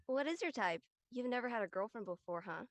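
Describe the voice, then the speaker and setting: A woman's voice: fairly high pitch, expressive, a little fast, faint music behind, natural voice. A woman playing a chirpy, curious and friendly teenage girl in a casual audio drama. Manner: casual, inquisitive.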